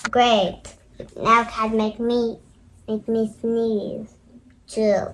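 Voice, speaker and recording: A young girl voicing an anxious, melodramatic, sneezy elephant from a storybook, halting and dramatic. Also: on the high side, flat delivery, fairly bright tone, a little hiss or hum, pitched below the performer's own voice, poor audio. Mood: sad, dramatic.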